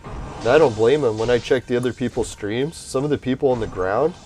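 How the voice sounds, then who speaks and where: A man's voice: mid-range voice, brisk, a little mellow, a little hiss or hum. A young man, rambling casually while he streams a game. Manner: rambling, chatty, swears and laughs. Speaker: gaming streamer. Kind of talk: casual gameplay commentary.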